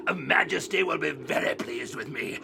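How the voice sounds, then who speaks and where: A man's voice: a little high, moderate pace, creaky, noisy background. A man playing a pompous, easily flustered military commander in an audiobook drama, barking with clipped bluster. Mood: flustered, pleased. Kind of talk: full-cast audiobook drama acting.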